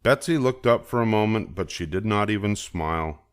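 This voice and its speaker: Deep-voiced older man reading a storybook. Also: lively intonation, moderate pace, smooth. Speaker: storybook reader. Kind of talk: children's story read-aloud narration.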